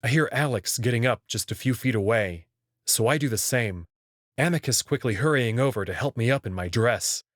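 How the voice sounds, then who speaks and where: A man giving a measured, scripted first-person narration as he reads a visual novel. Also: mid-range voice, no room sound, clean studio sound. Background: prominent music.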